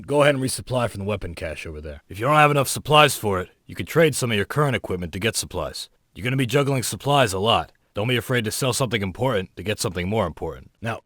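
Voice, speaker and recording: An actor playing a gruff, casual military training instructor in a video game, conversational, wry and salty-mouthed. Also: male voice, medium pitch, no room sound, studio quality, natural voice. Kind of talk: video game tutorial dialogue.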